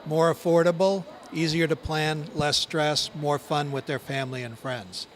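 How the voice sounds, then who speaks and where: Man, brisk promotional pitch, noisy outdoor recording. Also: steady tone. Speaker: wedding travel magazine representative. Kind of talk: promotional interview.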